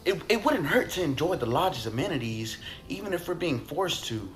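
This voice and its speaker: A man's voice: on the high side, very animated, moderate pace, soft background music. Nervous guest played by a man: polite puppet-show figure, hesitant, stammering, very variable intonation. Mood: reserved. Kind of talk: amateur puppet show acting.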